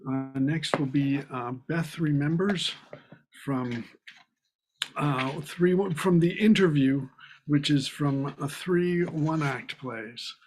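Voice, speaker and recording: A man hosting a script reading, introducing scenes and reading stage directions in a halting, informal way. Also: a little high, a little hiss or hum, poor audio. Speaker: host of a play reading.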